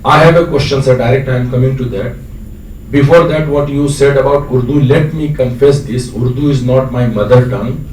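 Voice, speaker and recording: Indian man from Bihar, non-native English, hesitant fillers, mellow tone, echoey distant hall. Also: mid-range voice, fairly quick. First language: local dialect of Bihar. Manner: earnest, rambling, hesitant with many fillers. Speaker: audience member asking a question. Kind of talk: long-winded question from the floor. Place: lecture hall. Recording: echoey hall, distant mic, faint noise.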